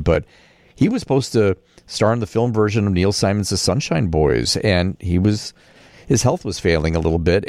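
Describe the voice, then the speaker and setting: A man's voice: low-pitched, darkish tone, dry recording. An American man hosting a conversational radio interview. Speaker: radio host.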